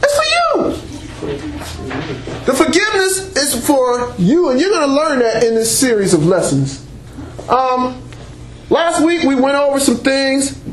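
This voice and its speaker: A man preaching and teaching the Bible to a congregation without a microphone, speaking loudly and emphatically and breaking in with exclamations of praise. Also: very high-pitched, big pitch swings, measured pace, pauses often. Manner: loud and emphatic, with call-and-response exclamations like praise and amen. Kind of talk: sermon-style teaching to a congregation. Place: church meeting room before a congregation. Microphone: unamplified voice picked up by a room mic. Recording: echoey room recording.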